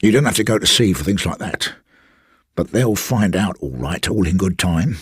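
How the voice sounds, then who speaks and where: A man acting a husky, garrulous former servant. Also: low-pitched, animated, no room sound, studio quality, full character voice. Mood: insistent, knowing. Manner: rapid, insistent, respectful, confiding. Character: shabby, garrulous, uneasy middle-aged former gentleman's servant. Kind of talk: dramatised literary reading.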